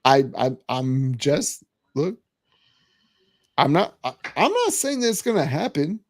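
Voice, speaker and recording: Man, 40s, podcast host, higher voice, animated, stammering restarts, casual sports chat. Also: measured pace.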